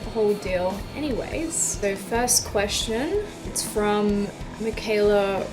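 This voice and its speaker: A woman's voice: mid-range voice, animated, slow pace, music in the background. A woman in her twenties chatting casually in a video, answering viewer questions with frequent likes and ums. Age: 20s. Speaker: YouTube musician. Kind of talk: casual Q and A vlog.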